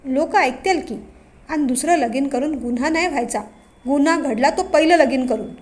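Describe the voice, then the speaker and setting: A bossy older village chief barks, loud and mocking, as a woman puts him on in a storybook reading. The voice sits high and ringing. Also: moderate pace, close to an everyday voice. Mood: commanding. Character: domineering older male village chief, scheming.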